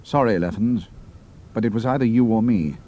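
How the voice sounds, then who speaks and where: An actor playing a cool, quick-witted military pilot in an audio drama. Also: male voice, on the low side, warm tone, highs cut off, natural voice. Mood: regretful.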